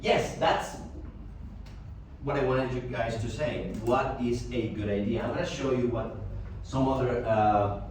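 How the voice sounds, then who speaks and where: A man's voice: medium pitch, unhurried. Forties man from Colombia, native Spanish speaker, playful teacher; far-off mic in a noisy, echoey classroom. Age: 40s. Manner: joking, lively, asks students questions. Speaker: English teacher. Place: language school classroom.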